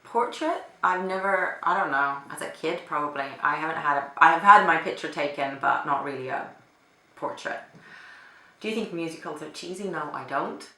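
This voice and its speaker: Young woman, casual vlog chat, low pitch and a bright tone, in an echoey apartment room. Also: normal speed. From Finland. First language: Finnish. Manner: chatty, hesitant, with fillers and little laughs. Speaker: vlogger. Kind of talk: casual chat answering a question tag.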